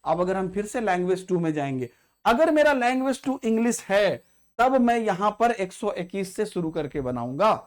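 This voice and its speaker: A man's voice: sits high, very animated, moderate pace. A man, teaching as an exam prep tutor who walks through an exam paper step by step and repeats key points to check understanding.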